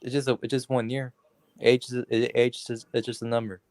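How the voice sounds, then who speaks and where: A man's voice: mid-range voice, little pitch movement, moderate pace, a little mellow. A man talking casually as a guest caller in a live sports debate.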